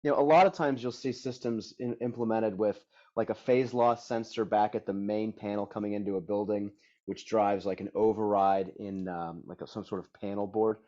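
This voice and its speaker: A man presenting a technical webinar, hoarse and tired, talking energetically and casually with many fillers. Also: on the low side, fairly even intonation, normal speed, mellow, muffled recording. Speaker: product development director presenting a webinar.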